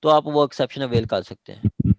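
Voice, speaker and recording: Man, Pakistani accent, medium pitch, informal online lecturer, explanatory, repetitive, checks understanding, dry close sound. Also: a little fast, a little mellow, no room sound, low-quality recording. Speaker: law lecturer. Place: online meeting.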